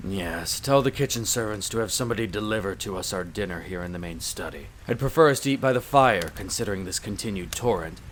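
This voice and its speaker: A man's voice: lowish voice, lively intonation, quick pace, hardly any pauses, a little hiss or hum, natural voice. A man playing a stern, reserved vampire lord of a castle in a roleplay audio drama, terse and commanding.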